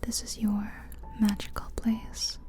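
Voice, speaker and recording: A woman whispering a slow, soothing guided visualization as an ASMR host, close to the mic. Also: mid-range voice, monotone, dark tone. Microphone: close mic, ear to ear.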